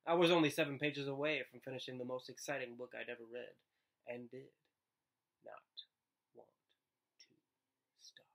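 A man in his thirties narrating a children's book aloud in an expressive read-aloud style. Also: medium pitch, normal speed, vocal fry, long pauses. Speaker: storybook reader. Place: living room, indoors.

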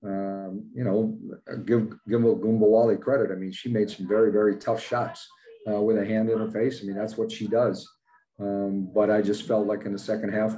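Older coach, low mellow voice. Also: male voice, rough recording. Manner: plain-spoken, many fillers, matter-of-fact. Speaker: basketball head coach. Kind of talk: postgame press conference answers. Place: indoors in front of a logo backdrop. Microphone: video call, webcam at desk.

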